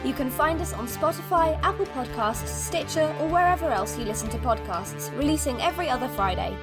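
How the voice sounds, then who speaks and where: A woman's voice: sits high, expressive, brisk. A woman reading a scripted promo for a podcast, with music behind her. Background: clear music.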